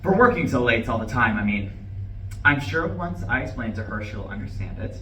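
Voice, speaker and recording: Man acting a nervous rambling husband, animated. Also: mid-range voice, normal speed. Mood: nervous, defensive. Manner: rambling, hesitant, self-correcting. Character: nervous, rambling, self-justifying husband riding home with flowers. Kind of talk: live play reading, dramatic monologue. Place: room at a live play reading. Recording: echoey distant live room recording, faint noise.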